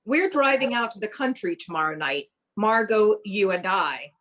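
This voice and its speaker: A woman's voice: medium pitch, sing-song, moderate pace, fairly bright tone. A woman playing a wry, scheming wife in a radio play, speaking dialogue and narration in a dry, confiding way. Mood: dry, skeptical.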